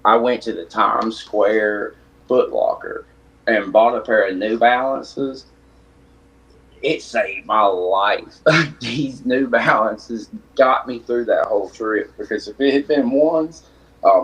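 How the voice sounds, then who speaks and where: A man's voice: on the low side, takes it slowly, muffled recording. Man with a thick Tennessee drawl. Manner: relaxed, rambling storyteller. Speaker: guest. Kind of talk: casual chat.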